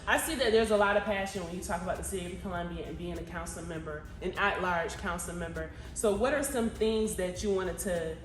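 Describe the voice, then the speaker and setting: A woman's voice: fairly low pitch, brisk, few pauses, reverberant. A woman interviewing a guest, chatty and affirming, weighing in with her own opinions. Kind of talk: interview questions.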